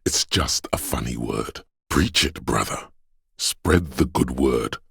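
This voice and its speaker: A man voicing the hedonistic inner voice of addiction in a video game, a sleazy tempter in the brain with a gravelly, husky, goading drawl. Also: a bass voice, lively intonation, takes it slowly, a bit bright, no echo, exaggerated voice. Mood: sleazy, coaxing.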